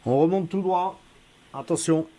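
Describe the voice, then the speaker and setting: A man's voice: on the high side, measured pace, heavy background noise, close to an everyday voice. A man playing a solemn, matter-of-fact submarine captain on a prank call, giving official-sounding orders with a straight face. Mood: polite, official. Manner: official, earnest, barking orders to crew. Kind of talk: deadpan telephone prank.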